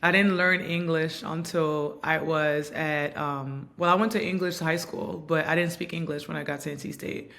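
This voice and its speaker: A woman in her thirties from Montreal, whose first language is Haitian Creole, giving reflective, earnest interview answers with frequent pauses and ums. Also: low voice, fairly even intonation, creaky, a bit bright. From Montreal, Canada. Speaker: guest, basketball player and coach.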